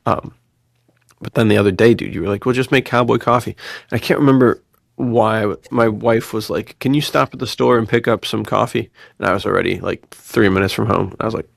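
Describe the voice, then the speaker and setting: A man's voice: lowish voice, fairly quick, creaky, no echo, studio quality. A man co-hosting a podcast in casual chat, telling slangy personal anecdotes with quoted bits of dialogue. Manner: slangy, animated storytelling with quoted dialogue and frequent laughter. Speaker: podcast co-host.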